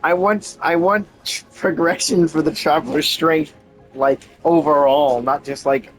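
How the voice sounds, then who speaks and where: A man's voice: a little high, fairly even intonation, measured pace, soft background music, low-quality recording. A man giving casual, off-the-cuff commentary as he plays and streams a video game.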